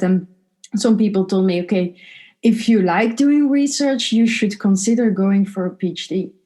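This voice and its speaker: Mellow-voiced woman from Belgium. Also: medium pitch. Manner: thoughtful, reflective, with fillers. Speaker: PhD graduate. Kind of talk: panel talk.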